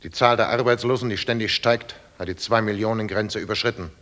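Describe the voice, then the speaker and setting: An actor playing an urgent news announcer, reading bulletins in a clipped, official manner. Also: male voice, steady tone, fairly quick, a bit bright, natural voice. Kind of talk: scripted 1920s news bulletin. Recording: very noisy, artillery rumble behind.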